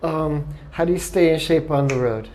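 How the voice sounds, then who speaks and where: A man's voice: on the high side, normal speed, a little mellow, a little hiss or hum. A man interviewing a musician, asking brief, polite questions in careful English. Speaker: festival interviewer.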